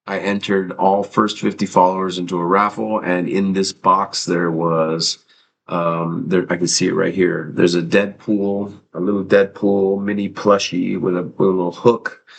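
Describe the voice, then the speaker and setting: Streamer host, low male voice, rambling and profane, light background noise. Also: little pitch movement, unhurried, creaky. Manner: rambling, casual and profane, many fillers and restarts. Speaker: livestream host. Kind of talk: casual livestream chat.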